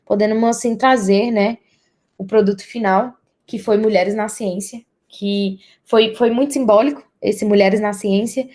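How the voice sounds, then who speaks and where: A woman's voice: a little high, darkish tone. A young adult woman with a Brazilian accent, giving an academic presentation as a university student.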